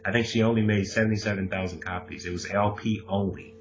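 Animated low-voiced man, dull lo-fi sound. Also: fast talker. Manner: animated, acts out quotes, laughs often. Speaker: podcast co-host. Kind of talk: casual conversation. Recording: dull lo-fi recording.

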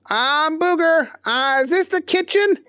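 A clueless, grubby grown man with yellowed teeth, pitched high and thin. He drawls slowly and sounds lost at every turn, in a dry, close storybook reading with a lively, bouncing pitch. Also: dry recording, full character voice. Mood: bewildered. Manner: slow, bewildered drawl. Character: dim-witted slobby grown man with bad teeth.

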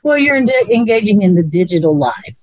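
A woman's voice: mid-range voice, very animated, brisk, darkish tone, no echo. A woman, co-hosting a casual chat in a musing way and often repeating words while she thinks. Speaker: co-host.

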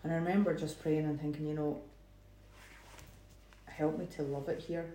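A woman, a church planter, telling family stories in earnest, relaxed dinner table chat. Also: low-pitched, moderate pace. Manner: earnest, reflective storytelling. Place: dining room. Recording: roomy with faint noise.

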